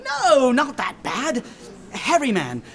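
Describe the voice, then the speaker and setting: A woman playing a cheerful, excitable detective comrade in a radio drama, exclaiming in a bubbly way. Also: high-pitched, slow, slight background noise, full character voice. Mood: excited, jovial.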